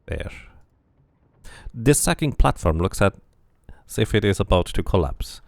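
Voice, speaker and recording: A man's voice: lowish voice, expressive, measured pace, gravelly, darkish tone, no room sound, clean studio sound. A man doing casual playthrough commentary, musing to himself and reading game text aloud. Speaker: video game streamer.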